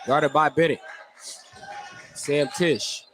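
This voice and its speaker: Man calling basketball over loud gym noise. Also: fairly high pitch, fairly even intonation, slow pace. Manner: clipped running descriptions of play. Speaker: play-by-play announcer. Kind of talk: live basketball radio commentary. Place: indoor school basketball gym. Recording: noisy gym behind the voice. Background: loud gym noise, bouncing basketballs.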